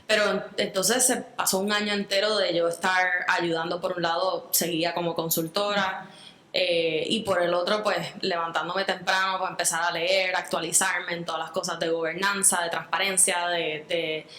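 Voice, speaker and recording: A woman's voice: on the low side, level tone, normal speed, bright, forceful tone, roomy sound. A Puerto Rican woman speaking earnestly in an interview, reflecting at length with fillers and restarts. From Puerto Rico. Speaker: guest. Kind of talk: interview conversation.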